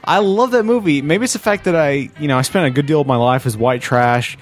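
A man's voice: a little high, brisk. A man co-hosting a podcast in casual comic banter, joking and poking fun at himself. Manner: joking, self-mocking. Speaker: podcast co-host.

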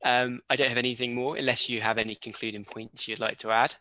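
A man's voice: medium pitch, flat delivery, quick pace, sharp tone. A man hosting an interview, asking questions with polite curiosity. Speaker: interviewer, host. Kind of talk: remote interview.

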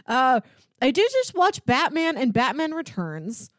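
A woman's voice: high-pitched, very animated, measured pace, dry recording, clean studio sound. A woman co-hosting a movie podcast in casual, conversational talk. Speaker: podcast co-host.

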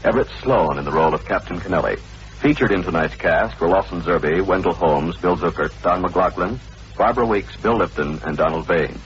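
Casting: man with very low voice, formal vintage radio announcer, dull archival sound. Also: a little fast. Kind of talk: 1950s radio announcing, scripted credits. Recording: muffled old radio recording.

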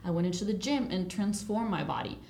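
A woman in her twenties from El Salvador, talking as a podcast guest with upbeat, eager energy. Her voice sits at an ordinary middle pitch, and it rings a little in a roomy, echoey space. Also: animated, fairly quick, a little mellow. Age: 20s. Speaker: guest, image consultant. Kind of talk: casual podcast interview. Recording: roomy echo.

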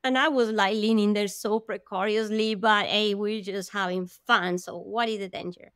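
A woman recounts her childhood as an interview guest, animated and expressive. Her voice sits at an ordinary pitch for a woman. The sound is dry and close. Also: moderate pace, smooth, no echo. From Colombia. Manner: animated, vivid storyteller with gasps and laughs. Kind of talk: storytelling in an interview.

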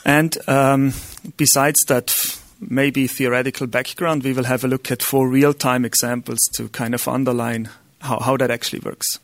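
A man's voice: medium pitch, little pitch movement, moderate pace, dry recording. A middle-aged man with an Austrian German accent, a non-native speaker giving a conference lecture with many ums and restarts.

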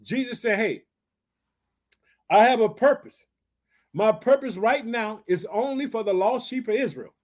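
A man's voice: extremely high pitch, a little slow, long pauses, a little mellow. An American man preaching a fervent sermon in colloquial, folksy language, emphatic and repetitive, punctuating it with exclamations and direct appeals to his listeners.

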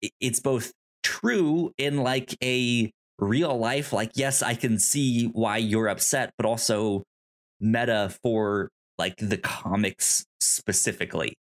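A podcast co-host casually discussing films and comics in a halting way, often repeating sounds and words. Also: mid-range voice. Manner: halting, frequent stammered repetition of sounds and words.

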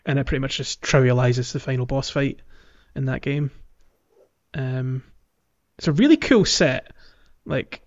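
A man co-hosting a casual gaming podcast, chatty and jokey, in loose conversational talk. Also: mid-range voice. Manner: jokey, chatty, quick to laugh. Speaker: podcast co-host. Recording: dull, lo-fi voice recording.

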